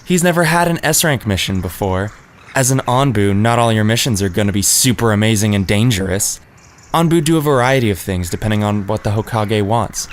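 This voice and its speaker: A man's voice: lowish voice, lively intonation, brisk, close to an everyday voice. A man playing a breezy, boastful young ninja teacher in an audio drama, casual, slangy and teasing. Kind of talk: fan audio drama acting.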